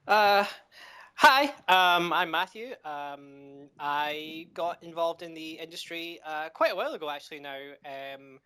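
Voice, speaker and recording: A middle-aged man from Scotland with a Scottish accent, answering interview questions with frequent pauses, fillers and restarts. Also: a little high, lively intonation, pushed and bright, clear, resonant tone. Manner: hesitant, many ums and restarts. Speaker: game developer guest.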